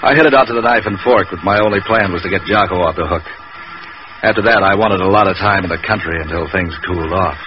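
An actor playing a tough, cynical private eye in a 1940s radio drama, terse, sardonic and wisecracking. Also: male voice, deep voice, quick pace, lightly acted. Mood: wry, weary. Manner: terse, sardonic, hard-boiled. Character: tough, cynical, wisecracking male hired investigator. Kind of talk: 1940s hard-boiled radio acting and narration. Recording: muffled, noisy old radio recording.

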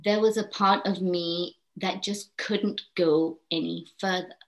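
A woman's voice: fairly low pitch, a little slow, low-quality recording. A woman in her forties, a guest on a podcast, talking casually and animatedly with frequent laughs and ums. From Hong Kong. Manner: lively, laughs often, many ums. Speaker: guest, parenting coach. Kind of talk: casual interview chat.

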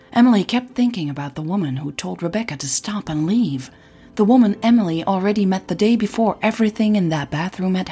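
A woman with a husky, breathy voice narrating a scripted audio drama story. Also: on the low side, warm tone.

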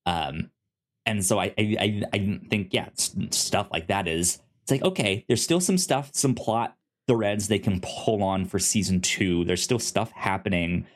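A man chatting casually as a podcast co-host, rambling and often doubling back on his words as he gives his review. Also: fairly low pitch. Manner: halting, rambling, repeats words.

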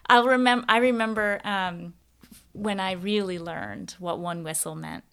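A woman's voice: mid-range voice, fairly even intonation, unhurried, a bit bright, clean tone. A woman hosting a podcast, talking in a casual, engaged, conversational storytelling way. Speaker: podcast host, interviewer.

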